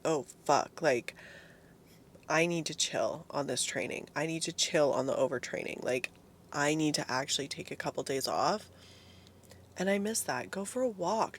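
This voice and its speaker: Hoarse young woman chatting casually. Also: deep voice. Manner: chatty, casual, swears freely. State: hoarse, losing her voice. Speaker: fitness vlogger. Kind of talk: casual solo talk to camera.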